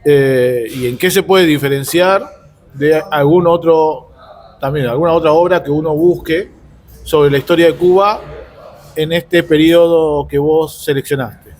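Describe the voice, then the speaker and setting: A man with an Argentine accent asking informal interview questions, haltingly and with rephrasing. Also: a little high, fairly even intonation, a little mellow.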